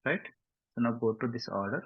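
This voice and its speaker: A man with a Telugu Indian accent giving a step-by-step software tutorial, mixing in English and often checking understanding with short tag questions. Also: medium pitch, lively intonation, takes it slowly, breathy edge. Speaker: software instructor. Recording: muffled lo-fi mic, roomy echo.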